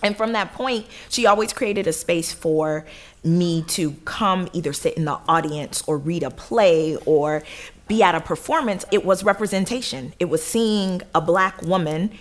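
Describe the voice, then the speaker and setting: An American woman from Detroit speaking as an interview guest, casual, effusive and expressive. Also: lowish voice, measured pace. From Detroit, United States. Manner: effusive, expressive, laughs easily. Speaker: theater director, interview guest.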